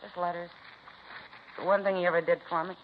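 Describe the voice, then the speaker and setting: Woman playing fed up crook's wife, old radio show, talks quick, slangy n blunt, muffled recording. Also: on the low side, flat delivery, a little hiss or hum, natural voice. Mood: grudging, plain. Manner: blunt, complaining, slangy ungrammatical speech. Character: bitter, fed-up wife of a crook, working-class stenographer. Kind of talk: 1950s radio drama acting.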